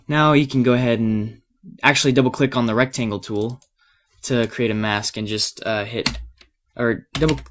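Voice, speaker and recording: A man with a cold and a slightly raspy voice gives a casual, unscripted software tutorial, hesitating and thinking aloud as he works. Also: medium pitch. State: has a cold. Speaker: tutorial presenter.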